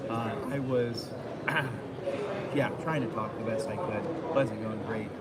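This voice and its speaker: A hoarse man hosting a casual walking tour of a trade show, rambling and poking fun at himself, recorded on a phone. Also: lowish voice, takes it slowly, heavy background noise. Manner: rambling, relaxed, light self-mocking. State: hoarse, losing his voice. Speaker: livestream host. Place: busy trade show hall. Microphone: phone carried while walking. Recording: phone audio in loud crowded hall.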